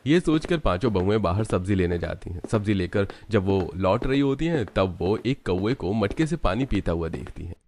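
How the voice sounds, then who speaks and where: A man narrates a cartoon story in a scripted storytelling style. His voice sits on the low side, and his intonation moves up and down in a very animated way. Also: normal speed, few pauses, slight background noise.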